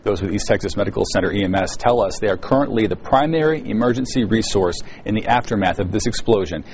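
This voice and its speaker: A male anchor reads news copy at a fast clip in a fairly low voice. The recording is slightly dull. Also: a little mellow, slight background noise. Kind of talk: scripted news narration.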